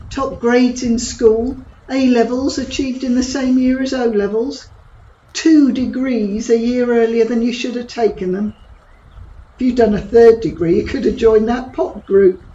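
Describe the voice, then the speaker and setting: A woman's voice: on the high side, steady tone, a little slow, mellow, highs cut off, lightly acted. A woman playing Gabby, a cheeky, provocative middle-aged friend who mocks and prods with blunt humour in an audio drama. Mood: scornful. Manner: teasing, blunt and mocking.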